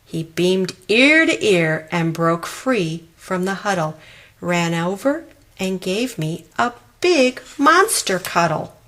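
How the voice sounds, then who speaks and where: Female storybook reader, unhurried pace, expressive and dramatic with rhyming verse. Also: fairly low pitch. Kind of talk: scripted rhyming children's story read aloud.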